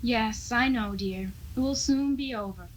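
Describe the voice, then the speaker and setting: An actress playing a gentle, soothing woman visiting a prisoner in a 1970s radio drama. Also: fairly high pitch, measured pace, natural voice. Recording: 1970s radio recording, faint noise.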